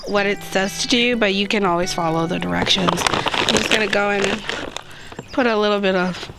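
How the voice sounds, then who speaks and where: A woman with a slightly hoarse voice, hosting a gardening vlog in an upbeat, chatty way as she walks through each step outdoors. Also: medium pitch. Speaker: gardening channel host. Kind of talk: casual how-to chat to camera. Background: background music.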